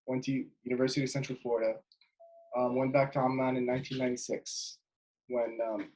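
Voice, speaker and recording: A man in his twenties from Jordan, a basketball player chatting casually as an interview guest over a webcam call from his bedroom, relaxed and rambling with lots of fillers and restarts. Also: medium pitch, low-quality recording. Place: bedroom at home, indoors. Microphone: webcam video call.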